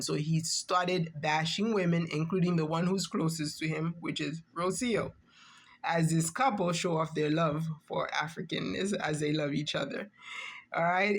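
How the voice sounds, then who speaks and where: A woman's voice: deep voice, slightly rough. A woman giving a reaction-channel commentary monologue, emphatic and rhetorical, often checking in with the listener.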